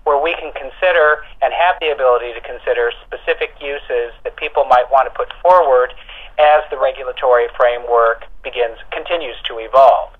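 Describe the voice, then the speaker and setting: A man speaking as an official, explaining policy to reporters in a deliberate, formal way, point by point. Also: mid-range voice. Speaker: government official at a press conference call. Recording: narrow, tinny telephone conference line.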